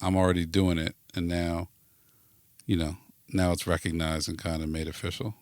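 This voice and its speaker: A man's voice: sits low, level tone, moderate pace, no echo, studio quality. A man being interviewed as a podcast guest, chatting casually and thinking aloud as he goes. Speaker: podcast guest, network founder.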